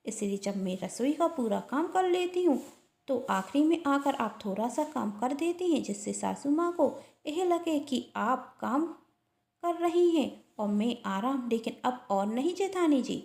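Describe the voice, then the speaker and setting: A woman's voice: sits high, animated, a little slow, creaky, a little mellow, exaggerated voice. A woman storyteller playing a dutiful, polite and earnest younger daughter-in-law with a shrewd streak. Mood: quietly resolved. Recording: roomy echo.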